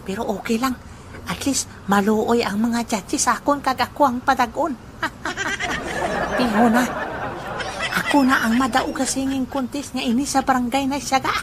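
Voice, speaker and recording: A woman's voice: sits high, natural voice. A woman voicing a boastful, joking old grandfather who is poor but proud, speaking in a teasing way in an amateur audio drama. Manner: teasing, laughing, slow deliberate delivery. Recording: noisy amateur recording.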